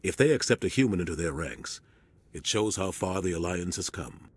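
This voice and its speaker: An actor playing a serious male starship captain giving a steady, earnest briefing in a video game cutscene. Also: low-pitched, normal speed, husky.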